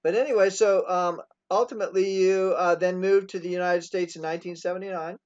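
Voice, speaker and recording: A man in his sixties hosting a casual interview, talking in a halting way with many pauses and restarts. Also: sits high, fairly even intonation. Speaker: host. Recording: dull lo-fi sound.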